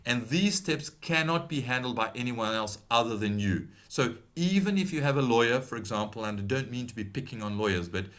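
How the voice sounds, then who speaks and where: A middle-aged British man with a slight South African tinge to his accent, presenting a webinar in a measured, advisory way with frequent ums and restarts. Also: medium pitch, a bit bright. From Britain. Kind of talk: explanatory webinar talk.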